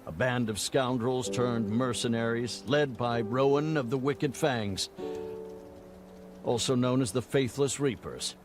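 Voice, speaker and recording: Man acting a stern, measured army general. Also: medium pitch, over music, lightly acted. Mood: stern, probing. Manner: measured, formal. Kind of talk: dramatic video game acting.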